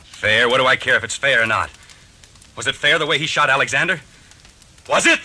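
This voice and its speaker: An actor playing an obsessed, volatile husband in an old-time radio mystery, with intense, theatrical delivery. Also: male voice, medium pitch, a little fast, bright, forceful tone. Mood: bitter, heated. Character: obsessed, volatile husband dreaming of fighting a duel. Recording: old radio recording, faint noise.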